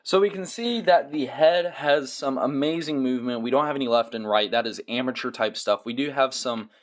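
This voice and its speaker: A man's voice: medium pitch, fairly quick. A man doing casual product review commentary as a toy reviewer, with dry, joking asides.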